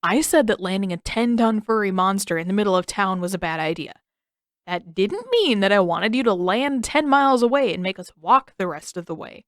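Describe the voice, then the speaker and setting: Exasperated teenage boy, played by a woman reader, sarcastic, whiny, lively swooping pitch, dry close sound, storybook character. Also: moderate pace, dry recording, natural voice. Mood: grumbling.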